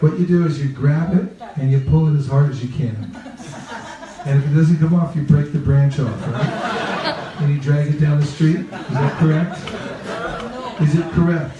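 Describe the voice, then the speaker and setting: A man's voice: fairly high pitch, normal speed. A man from California leading an interactive seminar, playfully questioning the group and repeating key phrases. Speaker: religious teacher leading a training talk. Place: reverberant room with a live group. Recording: echoey room, picked up from a distance.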